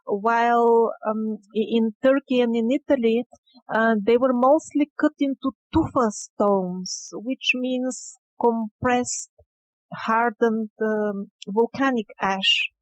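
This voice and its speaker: A woman guest explaining her research in an interview, speaking in a deliberate, halting and emphatic way. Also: on the high side, no room sound, muffled recording.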